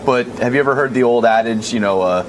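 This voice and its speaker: Male American interviewer. Medium register, probing conversational tone, clear ambient noise behind him. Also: medium pitch, quick pace. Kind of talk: casual probing questioning.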